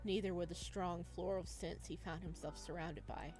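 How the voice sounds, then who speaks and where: A woman reading a visual novel aloud as a let's player, mixing expressive narration with casual reactions. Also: lowish voice, normal speed, soft background music, rough recording.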